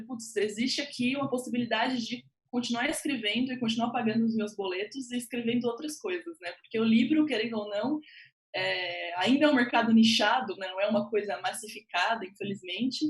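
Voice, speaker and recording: A woman with a Brazilian accent speaking as a guest writer on a panel, telling her story informally and acting out remembered remarks in an animated way. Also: fairly high pitch. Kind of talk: conversational personal account on a panel.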